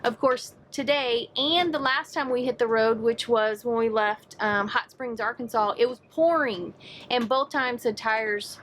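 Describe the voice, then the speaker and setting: A middle-aged woman with a slightly raised pitch talks to the camera in an easy, upbeat way as she shows off her RV travels. She chats freely and laughs often, with a faint background hum under her voice. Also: a little slow, fairly bright tone. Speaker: RV travel vlogger. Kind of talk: casual vlog chat to camera.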